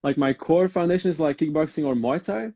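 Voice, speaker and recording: A man's voice: on the high side, a little fast, warm tone, no room sound, muffled recording. A young man from Belgium hosting a podcast interview over a video call, hesitant, filling with um and like. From Belgium, Antwerp. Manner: hesitant, fills with "um" and "like". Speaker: podcast host. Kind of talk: casual interview.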